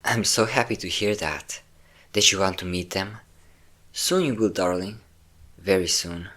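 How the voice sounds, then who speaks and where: Man acting a deep-voiced possessive vampire lover. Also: measured pace, pushed and bright. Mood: adoring, obsessive. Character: possessive, obsessive male vampire lover, vain and cruel. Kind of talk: intimate dramatic roleplay audio monologue.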